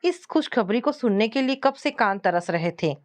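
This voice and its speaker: A woman voicing an affectionate, experienced older mother in a narrated family story, gentle and reassuring. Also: a little high, lively intonation, normal speed, lightly acted. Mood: happy, affectionate.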